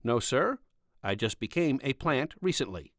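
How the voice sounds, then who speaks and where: A man's voice: medium pitch, very animated, normal speed, dry recording, clean studio sound, voice pushed up. A man voicing a shy, earnest young corporal for an audiobook, hesitant, polite and evasive. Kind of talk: audiobook character dialogue.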